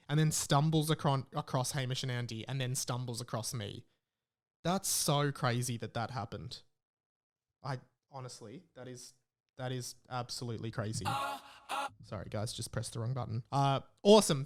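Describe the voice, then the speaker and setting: An Australian man hosting a podcast in a casual, rambling way, reading out messages and breaking off for his own asides. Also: mid-range voice. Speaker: podcast host.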